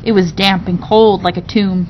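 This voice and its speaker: A woman reading a classic novel aloud in an expressive storybook narration style. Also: moderate pace. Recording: dull recording with low rumbling noise.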